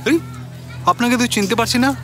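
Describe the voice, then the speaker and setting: A man's voice: very high-pitched, a little fast, music in the background, crowd noise. A man playing a bewildered, suspicious brother-in-law in a radio drama, questioning incredulously with a West Bengal Bengali accent. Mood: indignant, baffled.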